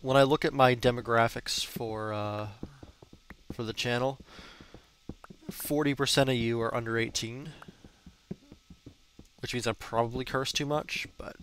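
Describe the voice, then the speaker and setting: Young man, early twenties, stuffy-nosed, rambling gameplay chat with swearing and fillers, mid pitch, faint background hiss. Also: fairly even intonation, a little slow, fairly bright tone. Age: young adult. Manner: chatty, cursing, rambling with fillers. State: congested, stuffy nose. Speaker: gaming channel host. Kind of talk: casual gameplay commentary.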